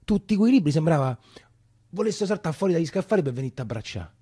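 A colloquial Roman man recalls his boyhood in a theatrical monologue. His voice is dark and mellow, closely and dryly recorded, and he often doubles back on his words. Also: a little high, big pitch swings, slightly rough, no room sound, clean studio sound, exaggerated voice. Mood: reminiscing. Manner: colloquial, halting, with repetitions. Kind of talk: theatrical first-person storytelling.

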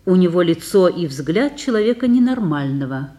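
A woman's voice: lowish voice, sing-song, moderate pace, dark tone. A woman narrator giving scripted narration of a play's stage directions.

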